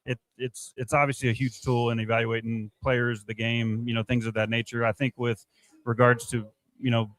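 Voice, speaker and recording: A man answering interview questions off the cuff, in halting, repetitive phrases as a sports staff director. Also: medium pitch, flat delivery, fairly bright tone, no room sound. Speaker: baseball player development director, former player.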